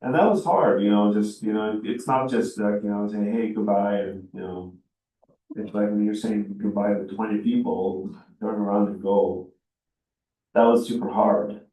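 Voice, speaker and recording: Low-voiced man, heartfelt. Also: fairly even intonation, warm tone. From Turkey. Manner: heartfelt, halting, many fillers. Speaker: oral history interviewee. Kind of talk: personal oral history. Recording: echoey room, distant mic.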